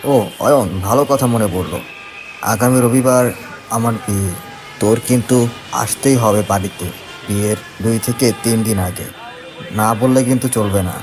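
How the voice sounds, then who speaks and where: A man playing a friendly, insistent young office worker in animated story dialogue, chatty and pressing. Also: fairly low pitch, normal speed, pauses often, music in the background, lightly acted.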